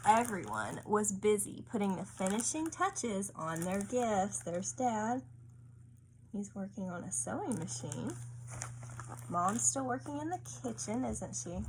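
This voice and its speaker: A woman's voice: medium pitch, lively intonation, unhurried. A woman reading a picture book aloud to a child, often stopping to ask coaxing little questions. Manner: asks coaxing tag questions of a child listener. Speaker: storybook reader. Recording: faint background room noise.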